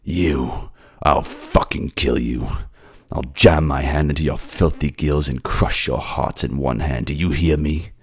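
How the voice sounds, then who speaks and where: Man acting a snarling deep-voiced jealous king. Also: unhurried, slightly rough, exaggerated voice. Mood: murderous rage. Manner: snarling, threatening, commanding. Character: violent, jealous, possessive captive human king. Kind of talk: dramatic audio roleplay.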